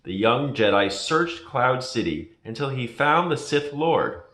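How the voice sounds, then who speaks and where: Slow, dramatic male storybook narrator. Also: mid-range voice, expressive, a bit bright. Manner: dramatic, deliberate. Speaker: storybook reader. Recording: echoey room.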